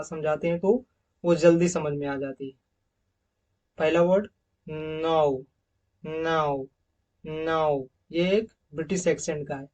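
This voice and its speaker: A man's voice: high voice, dull audio. Man teaching phonetics. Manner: repeats each example word slowly and loudly. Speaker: English teacher. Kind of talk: slide-based phonetics lesson.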